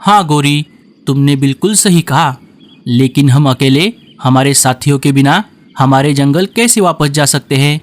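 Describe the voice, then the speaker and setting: A calm and sensible male crow from a children's cartoon, voiced by a man. His voice is a touch high and steady as he talks things through. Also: normal speed, close to an everyday voice. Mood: reasoning.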